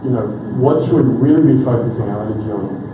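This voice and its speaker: A soft-spoken man in the audience asking a long, rambling question at a panel. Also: medium pitch, quick pace. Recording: echoey hall, distant, noisy.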